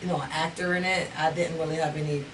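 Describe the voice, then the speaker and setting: A woman actor with a lowish voice answers in a relaxed, halting way, full of fillers. The mic sits at a distance and noise is clearly heard. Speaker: stage actor and guest. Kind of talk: casual interview chat. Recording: distant mic with noisy background.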